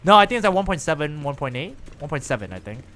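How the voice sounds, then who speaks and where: Lively, animated man streaming a game. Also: on the high side, quick pace. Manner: chatty, animated, lively reactions while playing. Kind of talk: casual live-stream commentary.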